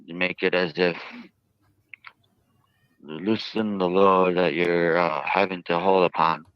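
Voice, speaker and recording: A man giving unscripted interview answers in a halting, earnest, wordy way, often repeating himself, on muffled audio. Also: low-pitched, steady tone, clean tone, no echo. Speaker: jailed interviewee. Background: played within a live stream.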